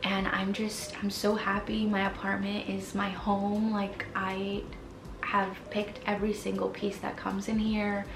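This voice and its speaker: A woman's voice: mid-range voice, completely flat delivery, a little slow, reverberant, recorded from a distance, noisy background. A woman in her twenties, born in Mexico with Spanish as her first language, chatting candidly to camera from her apartment, with lots of fillers. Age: 20s. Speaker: vlogger. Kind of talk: casual chat to camera.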